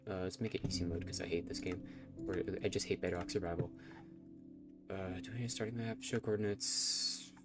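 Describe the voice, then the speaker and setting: A man's voice: low-pitched, fairly even intonation, a little fast, over music. A man with a nasal, stuffy voice, rambling and self-conscious as he chats through a live game stream. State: stuffy nose from allergies.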